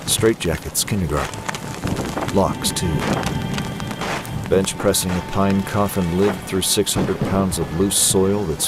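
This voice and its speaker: Super deep grim vigilante, controlled and threatening monologue, loud music and storm behind. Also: male voice. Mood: cold resolve. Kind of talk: dramatic motion comic monologue.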